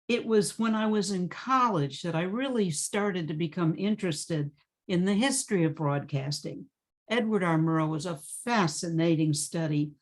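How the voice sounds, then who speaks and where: An older woman shares a prepared personal reminiscence. Her voice sits a little low for a woman. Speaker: class member sharing memories.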